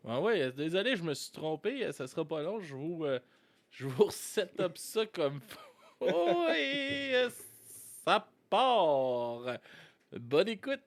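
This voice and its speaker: A young man with a Québécois accent, hosting a casual music review chat, excitable, laughing often and swearing casually. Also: fairly high pitch, slow pace. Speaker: podcast host.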